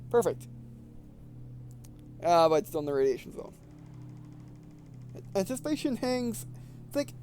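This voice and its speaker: Male let's player, high-pitched voice, reads story text and chats casually, trips over words, faint hum behind. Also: darkish tone. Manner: stumbles over words while reading aloud. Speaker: video game let's player. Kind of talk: reading visual novel text aloud with casual commentary.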